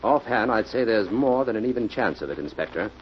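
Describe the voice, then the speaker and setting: Fast-talking low-voiced actor as radio detective. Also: male voice, lively intonation, natural voice. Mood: assured, reasoning. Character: sharp, methodical male private investigator working beside police. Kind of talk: 1940s radio mystery acting. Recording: dull, noisy old radio recording.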